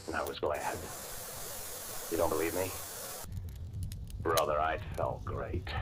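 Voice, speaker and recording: A man's voice: rumbling low, flat delivery, slow, heavy background noise. An actor playing a bitter, gloating murderer confiding his crime in hardboiled slang, in 1940s radio drama style. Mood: cold gloating. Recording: old radio recording with music behind.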